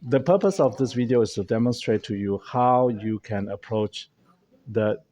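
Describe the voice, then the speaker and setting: A man's voice: medium pitch, moderate pace, dark tone. A man whose first language is German, hosting and talking casually to a camera held by a friend in a café, explaining earnestly with frequent restarts. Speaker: host.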